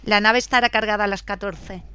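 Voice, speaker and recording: A woman's voice: mid-range voice, fairly even intonation, a little fast, bright, forceful tone, clear, resonant tone, no echo, lightly acted. A woman playing a practical, authoritative crew foreman in a radio drama. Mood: firm, commanding. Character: female dock crew foreman, firm, practical and commanding.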